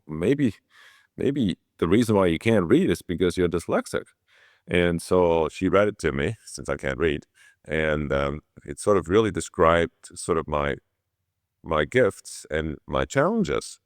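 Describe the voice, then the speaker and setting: A man's voice: very deep voice, dry recording, studio quality. A middle-aged man from Switzerland telling his own story conversationally as an interview guest. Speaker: interview guest, orthopedic surgeon.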